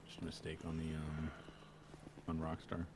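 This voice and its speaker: A man's voice: very deep voice, monotone, moderate pace, very noisy. A man chatting casually as a guest on a gaming podcast. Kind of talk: casual chat.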